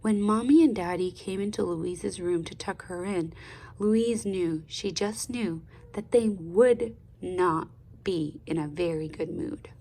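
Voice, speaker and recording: A woman reads a storybook aloud like a teacher guiding young listeners. Her pace is very slow and deliberate, and her voice has a noticeable creak. She is expressive and pauses to prompt the child. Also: fairly low pitch, a little hiss or hum. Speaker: speech therapist reading aloud to children.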